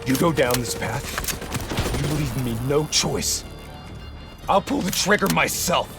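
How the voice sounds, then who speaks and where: A man playing a desperate, determined soldier who pleads urgently and forcefully in a video game cutscene. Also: medium pitch, expressive, unhurried, creaky. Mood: pleading, resolute. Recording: loud cinematic music over dialogue.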